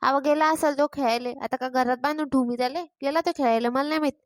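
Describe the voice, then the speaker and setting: A woman's voice: high voice, steady tone, fast talker, background noise, lightly acted. A woman voicing a sarcastic, grumbling village mother and daughter-in-law in a cartoon comedy, with a rural Maharashtrian accent. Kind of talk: Marathi cartoon comedy dialogue.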